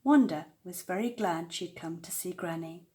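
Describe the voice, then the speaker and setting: An older woman telling a children's story aloud with expressive narration. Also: lowish voice, moderate pace. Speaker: storyteller, grandmother reading aloud.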